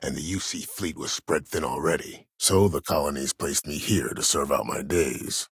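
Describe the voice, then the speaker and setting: An older man acting a video game role: a cunning, proud old disgraced admiral who speaks in a measured, formal, husky voice with quiet menace. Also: no echo, clean studio sound, full character voice. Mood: defiant, measured. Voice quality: husky, very deep. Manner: measured, formal, self-assured. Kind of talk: video game character dialogue.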